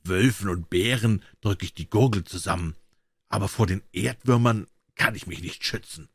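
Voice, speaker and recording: Grumpy forest giant for a fairy tale, read by a man: big, gruff, scornful, on the low side. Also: normal speed, no echo. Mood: grudging. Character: huge, grumpy, sleepy male forest giant, easily fooled.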